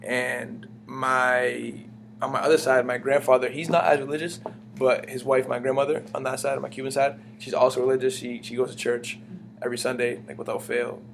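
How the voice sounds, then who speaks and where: A man's voice: medium pitch, level tone, fairly quick, vocal fry, a little hiss or hum. A young man from the United States, answering interview questions in a casual, rambling way, with many restarts and "like" fillers. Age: young adult.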